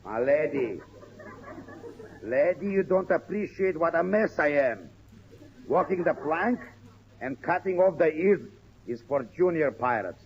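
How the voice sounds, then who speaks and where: Casting: blustering wicked pirate captain, high animated voice, old radio comedy. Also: male voice, measured pace, pauses often, slightly rough, full character voice. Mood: boastful glee. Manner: theatrical, gloating villainous delivery. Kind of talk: 1940s radio comedy acting. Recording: noisy, dull old radio recording.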